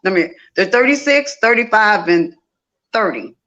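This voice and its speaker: Bright-voiced woman, muffled audio. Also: mid-range voice, animated, normal speed. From Louisiana, United States. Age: 50s. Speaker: interview guest, festival organizer. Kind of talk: casual conversational storytelling.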